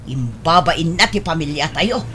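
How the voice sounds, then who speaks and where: Woman doing a strict radio drama mom, scolding and reproachful, very animated, bit of noise behind. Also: low-pitched, normal speed. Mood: angry, ashamed. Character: stern, shamed mother scolding her pregnant daughter.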